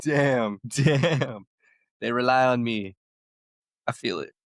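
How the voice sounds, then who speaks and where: A man co-hosting a podcast, reacting quickly and swearing casually. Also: mid-range voice, dry recording, clean studio sound. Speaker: podcast co-host. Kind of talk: casual chat.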